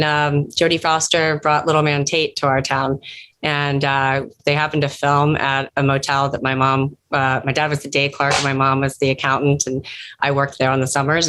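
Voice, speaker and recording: A woman's voice: low-pitched, fairly bright tone, slight background noise. A middle-aged woman with an American accent, telling a personal story conversationally as a panelist. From Columbus, Ohio, United States. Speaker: panelist from an entertainment company.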